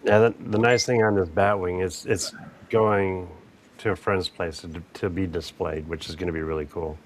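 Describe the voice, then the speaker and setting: A man's voice: sits low, steady tone, moderate pace, a little hiss or hum. A man chatting casually on a podcast, laid-back and laughing easily as he shows off a model he built. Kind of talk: casual chat.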